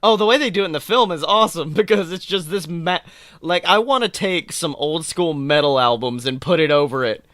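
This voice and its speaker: A man co-hosting a casual film chat podcast, talking in a jokey, animated way and swearing freely. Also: a little high, brisk. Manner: animated, jokey, acts out imagined dialogue. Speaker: podcast co-host. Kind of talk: casual chat about films.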